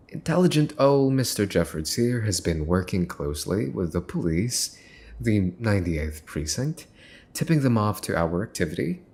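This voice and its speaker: A man's voice: on the low side, vocal fry, darkish tone, full character voice. A man playing a smug, menacing young mafia boss's son in an ASMR roleplay, mocking and teasing with theatrical deliberation. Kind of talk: ASMR roleplay, dramatic monologue to the listener.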